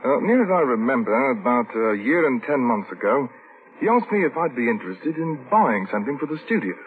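A man's voice: mid-range voice, sing-song, a little fast, lightly acted. An actor playing a studio property man, a hesitant, deferential and matter-of-fact witness in old-time radio drama style. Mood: halting, obliging. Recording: tinny, hissy vintage radio recording.

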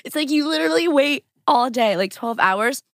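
A woman's voice: high-pitched, animated, moderate pace, fairly bright tone, no echo, studio quality. Chatty young woman from Brazil. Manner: chatty, lots of "like", laughs often. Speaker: guest. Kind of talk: casual podcast chat.

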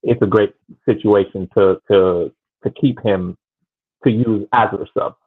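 A man's voice: fairly low pitch, fairly even intonation, a little slow, slightly rough. A man giving casual sports analysis on a panel over a thin line, explaining points with emphatic repetition. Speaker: podcast panelist. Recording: thin phone-like band-limited line.

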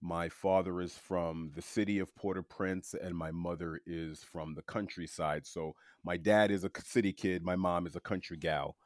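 Deep-voiced New man, dry sound. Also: no echo. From Queens, New York City. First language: French. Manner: relaxed, halting, with many fillers and restarts. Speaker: interview guest. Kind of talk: casual storytelling.

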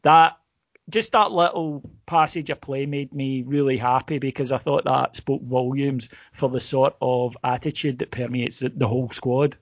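A man's voice: mid-range voice, fairly even intonation, normal speed, no room sound. A man on a football podcast walks through a moment from a match like a short story. The recording is dry, with no room sound. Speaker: podcast guest. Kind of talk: casual football podcast chat.

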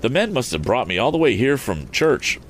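Man reading as an earnest, lively young fellow. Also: mid-range voice, normal speed, slight background noise, pitched above the performer's own voice, lightly acted. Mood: earnest, determined. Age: young adult. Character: earnest, plucky young fellow, robbed and stranded.

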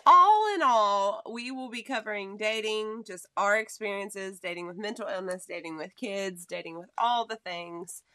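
A woman co-hosting a casual podcast chat, talking in an easygoing, chatty way. Her voice sits at a middle pitch. The sound is dry and close, with no room echo. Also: normal speed, few pauses, sharp tone. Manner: chatty, laughs easily. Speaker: podcast co-host.

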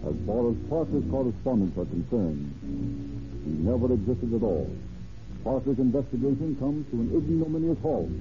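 A man's voice: medium pitch, animated, normal speed. A man narrating a vintage radio drama in an emphatic, theatrical scripted style. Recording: tinny old radio broadcast with music.

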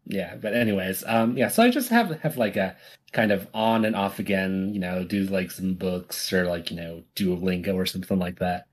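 A man's voice: low-pitched, moderate pace, breathy edge. A young man co-hosting a podcast, chatting casually and hesitantly, filling his talk with "um" and "you know". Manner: hesitant, lots of ums and you-knows. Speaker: podcast co-host. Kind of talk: casual chat.

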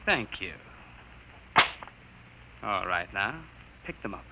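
An actor playing an arrogant, cruel army lieutenant who barks contemptuous orders, in a 1950s radio drama. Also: male voice, deep voice, sing-song, takes it slowly, vocal fry, heavy background noise, exaggerated voice. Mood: sneering.

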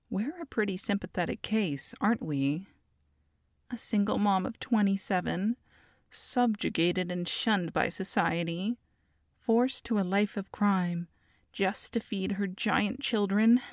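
A woman's voice: mid-range voice, a little slow, dry recording, clean studio sound, natural voice. A woman voicing a feisty, scheming goblin mother in an audio roleplay, sassy and haughty as she talks to a captive. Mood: self-pitying. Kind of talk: ASMR audio roleplay monologue.

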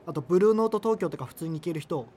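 An actor playing an awkward, apologetic young subculture nerd in a radio drama, with a hesitant, earnest, wordy way of talking. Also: male voice, fairly high pitch, animated, racing, mellow. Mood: confessional. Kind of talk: naturalistic radio drama dialogue and monologue.

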